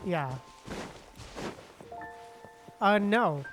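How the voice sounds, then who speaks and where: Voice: mid-range voice, animated, drawn-out words. A game streamer giving casual live commentary, repeating phrases and muttering with offhand swearing. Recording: game music behind the voice. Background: game music.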